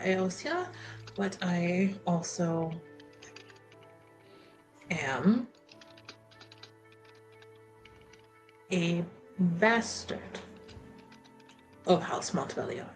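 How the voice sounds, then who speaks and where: A woman voicing a guarded, anxious house attendant with a put-on French accent in a tabletop roleplay, confiding haltingly. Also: on the low side, darkish tone. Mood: hesitant confession. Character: guarded noblewoman's attendant, secretly a bastard, earnest and anxious.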